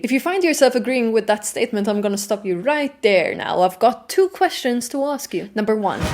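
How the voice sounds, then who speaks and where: A woman reading scripted video essay narration, addressing the listener directly with a wry manner. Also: fairly high pitch. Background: clear background music.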